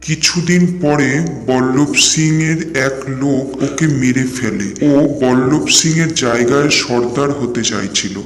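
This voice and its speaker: A man voicing a knowing, protective village uncle who explains gravely and steadily in an animated horror story. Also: medium pitch, lightly acted. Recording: echoey, long reverb, music behind.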